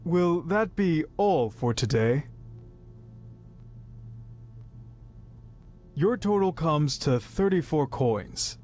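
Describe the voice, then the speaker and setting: A man's voice: a little high, lively intonation, slow, darkish tone. A man voicing a polite, apologetic, burdened shopkeeper in a fantasy visual novel game. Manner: courteous, apologetic.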